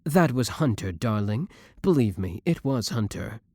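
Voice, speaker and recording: Male actor as obsessive young boyfriend, very animated pitch, dry sound, doting, smug, theatrical, audio roleplay. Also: measured pace, dry recording. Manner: theatrical, doting endearments, scornful of his rival. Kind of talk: scripted audio roleplay acting.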